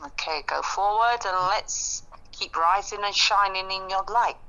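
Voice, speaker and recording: A woman in casual, reflective conversation, speaking earnestly and haltingly as she works out her thoughts. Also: mid-range voice, pushed and bright, poor audio.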